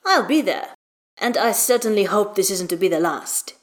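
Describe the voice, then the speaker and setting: A woman's voice: mid-range voice, animated, normal speed, natural voice. A woman voicing an affable, gallant man with a friendly, hearty manner in an audio story.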